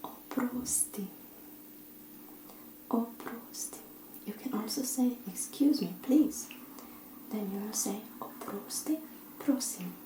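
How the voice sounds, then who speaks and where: A woman teaching simple phrases in a soft, often whispered, encouraging way while seated at a table indoors. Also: medium pitch, lively intonation, a little slow, reverberant, a little hiss or hum, poor audio. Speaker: language teacher.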